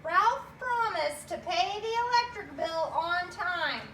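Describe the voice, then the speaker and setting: Squeaky kid puppet captain, eager and bossy, slow delivery, echoey room. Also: lively intonation, bright, forceful tone, full character voice. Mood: reproachful. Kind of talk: children's church puppet show. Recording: echoey room, distant mic, faint noise.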